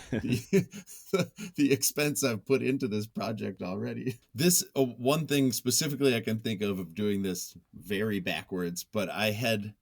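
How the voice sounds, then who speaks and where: A man with a deep voice speaks as a guest in a reflective interview. He is thoughtful and self-effacing, often feeling his way through a sentence with some hesitation. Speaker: interview guest, nonfiction writer.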